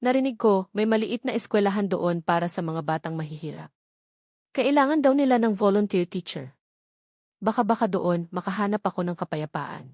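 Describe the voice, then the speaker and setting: A Filipino woman voicing a gentle, wounded young woman in a romantic audio drama, earnest and halting. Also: mid-range voice, animated, no echo, clean studio sound. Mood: quietly hopeful.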